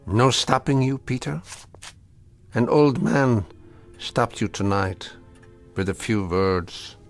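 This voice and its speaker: An actor playing a wry, defiant old man in a radio drama, measured and dryly ironic. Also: medium pitch, lively intonation, soft background music. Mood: quietly defiant.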